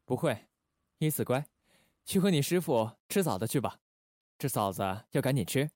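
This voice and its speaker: An actor playing a suave, calculating male intelligence station chief in a Republican-era spy radio drama, speaking in a smooth, measured, teasing manner. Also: medium pitch, big pitch swings, darkish tone, no room sound, studio quality. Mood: gentle.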